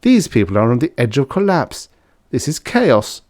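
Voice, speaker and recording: A firm space-navy skipper acted by a man reading a story, giving clipped, authoritative lines. The pitch is lifted and the sound is dry and close. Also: big pitch swings, normal speed, darkish tone, no room sound, close to an everyday voice. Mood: grave. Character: firm male warship skipper, commanding, secretly soft-hearted.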